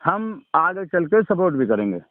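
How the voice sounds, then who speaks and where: A man's voice: high voice, sing-song, normal speed, slight background noise. A man in an informal phone conversation, explaining didactically and repeatedly asking the listener to agree. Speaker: religious storyteller. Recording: narrow-band phone call recording.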